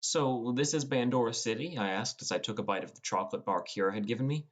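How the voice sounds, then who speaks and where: A man plays a teenage boy gamer telling his own fantasy adventure. It is first-person audiobook narration in a medium-pitched male voice. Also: quick pace, natural voice. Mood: narrating.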